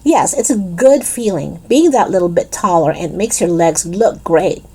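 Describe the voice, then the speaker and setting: A woman's voice: fairly low pitch, expressive, moderate pace, mellow, breathy edge. A woman voicing an eager, confident, playful schoolgirl in a storybook, with a coaxing, enthusiastic manner. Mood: encouraging.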